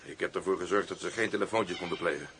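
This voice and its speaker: An actor playing a dutiful, reassuring adjutant in a radio drama, clipped, deferential and husky. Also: male voice, sits low, quick pace, a bit bright, a little hiss or hum. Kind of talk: Dutch radio drama acting.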